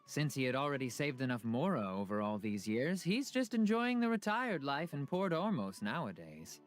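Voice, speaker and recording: A man's voice: mid-range voice, very animated, normal speed, lightly acted. A man playing a friendly, easygoing merchant in a fantasy video game. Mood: reassuring. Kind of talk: scripted game character dialogue. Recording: faint music behind.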